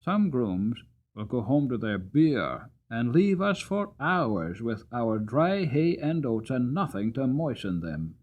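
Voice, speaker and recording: A gentle, earnest horse voiced by a man recounts his working life as in a classic storybook. The pitch moves freely and the delivery is dramatic and sincere. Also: takes it slowly, muffled recording. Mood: reproachful. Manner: expressive, earnest.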